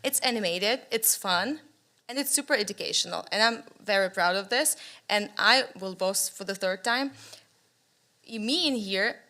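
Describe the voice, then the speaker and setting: A woman's voice: medium pitch, normal speed. A young woman with a Ukrainian accent speaking enthusiastically at a panel discussion in a conference room, using a table microphone. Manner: enthusiastic, animated, with gestures.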